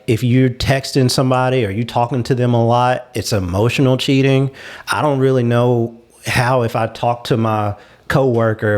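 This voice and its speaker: A man's voice: mid-range voice, a little mellow. A man in a casual panel discussion, talking in an explanatory, reasoned manner.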